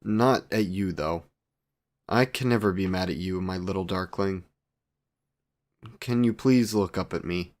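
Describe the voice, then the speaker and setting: A man's voice: low voice, measured pace, pauses often. A man playing a courtly, devoted, youthful vampire boyfriend in a romantic audio roleplay, with archaic, formal wording and tender endearments. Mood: tender, doting. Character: courtly, devoted 128-year-old male vampire boyfriend, youthful, doting.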